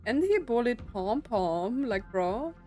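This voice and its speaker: A woman's voice: fairly high pitch, big pitch swings, slow, dark tone. A young woman gamer giving casual commentary as she plays through a story-driven game. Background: game music.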